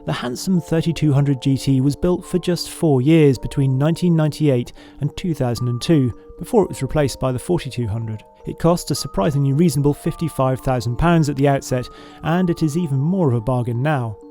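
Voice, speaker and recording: A man narrating in a smooth, measured scripted voice-over. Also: fairly high pitch, dark tone. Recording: voice-over with music underneath.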